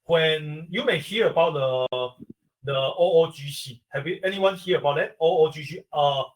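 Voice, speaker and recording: Taiwanese man, distant from the mic. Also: on the high side. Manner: explaining step by step in halting English. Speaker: industry expert guest. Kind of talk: webinar answer to a question.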